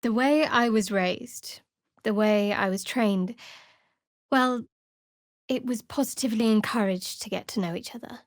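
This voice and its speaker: A young woman cleric of a dark goddess, played by an actress for a video game, in a slightly high, closely recorded dry sound. She is guarded and sardonic, delivering everything with a dry, wry edge. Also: a little slow, no room sound, close to an everyday voice. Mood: teasing, confiding. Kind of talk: video game character voice acting.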